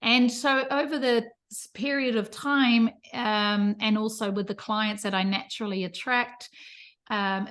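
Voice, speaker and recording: A woman in her fifties, born in the Netherlands, talks through her path into yoga as a guest. She speaks in a relaxed, reflective way and laughs easily as she chats. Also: medium pitch. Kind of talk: casual interview chat.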